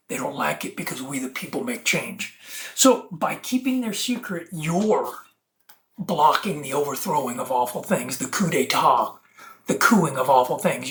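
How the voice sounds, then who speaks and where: A speaker from the United States giving an earnest, preachy monologue as an activist presenter, halting and digressive, drawing out words and playing on them. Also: medium pitch, a bit bright. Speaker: self-taught activist presenter. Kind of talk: rambling monologue to camera.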